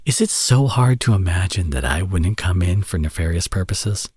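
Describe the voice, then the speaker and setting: Man acting a deep, husky flirtatious villain. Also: lively intonation, a little mellow, no echo, clean studio sound. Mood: sardonic, needling. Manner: teasing, sardonic, drawling with drawn-out phrases. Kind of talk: ASMR romance audio roleplay, intimate acting.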